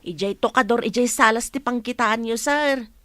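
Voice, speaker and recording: Woman acting a very high-voiced, flustered maid. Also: moderate pace, fairly bright tone, lightly acted. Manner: deferential. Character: flustered, deferential household maid. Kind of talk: Ilocano radio comedy-drama acting.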